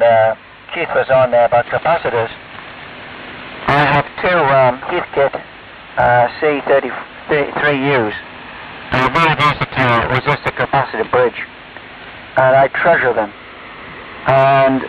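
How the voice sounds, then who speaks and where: A man's voice: mid-range voice, phone-quality sound. An older man, chatting casually and at length on an amateur radio net, rambling and self-deprecating. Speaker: ham radio operator. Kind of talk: casual on-air radio chat. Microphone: radio transceiver mic heard over the air. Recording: noisy, band-limited shortwave radio hiss.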